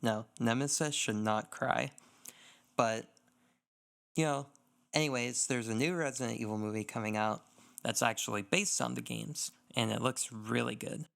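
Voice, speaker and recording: A man chats casually as a podcast co-host, his voice mid-pitched and clear with a noticeable creak at the edges. He talks in loose, filler-heavy phrases, working out his thoughts as he goes. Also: fairly even intonation, moderate pace, fairly bright tone. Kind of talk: casual gaming podcast chat.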